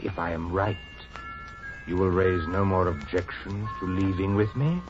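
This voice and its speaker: A man's voice: deep voice, unhurried. An actor playing a gaunt, sinister man with formal, courteous, insistent speech in an old-time radio drama. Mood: coolly insistent. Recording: muffled vintage radio recording with music.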